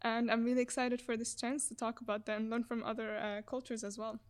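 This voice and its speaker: A woman's voice: on the high side, little pitch movement, a little fast, clear, resonant tone. A young woman from Egypt, a student guest on a podcast, speaking in an earnest, articulate way. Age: young adult. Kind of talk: podcast conversation.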